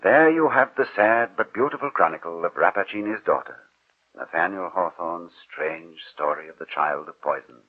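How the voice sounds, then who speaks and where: A man hosting a 1940s radio drama series, announcing in a formal, measured, scripted style. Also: deep voice, lively intonation, slightly rough. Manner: formal, measured, theatrical phrasing. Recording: muffled, narrow-band 1940s radio recording.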